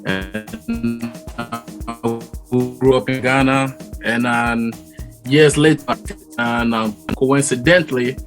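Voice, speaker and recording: A man's voice: mid-range voice, level tone, takes it slowly, over music. A man born in Togo, a musician, talking in a friendly, loose and slangy way as a guest in a casual interview.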